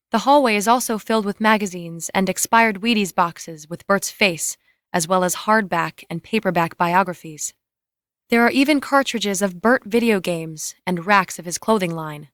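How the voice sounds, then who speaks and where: A woman's voice: mid-range voice, fairly bright tone, no room sound, studio quality. A woman narrating scripted screenplay scene directions in a matter-of-fact way.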